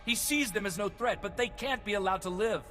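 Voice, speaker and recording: An anguished avenger in a reverberant hall. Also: male voice, high voice, fairly quick, bright, forceful tone. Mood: vengeful, agitated. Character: anguished vengeful male survivor, obsessed then subdued. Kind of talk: video game cutscene acting. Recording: hall reverb, music behind.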